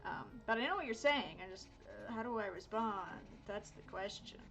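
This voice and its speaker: A woman's voice: medium pitch, lively intonation, normal speed, fairly bright tone, faint music behind, low-quality recording. A woman in her thirties from Miami, chatting casually while drawing on a livestream, rambling with lots of fillers and self-corrections. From Miami, United States. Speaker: art streamer.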